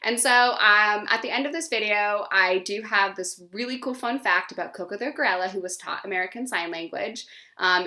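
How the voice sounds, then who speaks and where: A woman from Canada's East Coast gives an educational presentation as a teacher. Her voice is bright and clear, sitting at a middling pitch. She sounds earnest and eager, talking informally as if to a class. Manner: earnest, informal, enthusiastic about the topic.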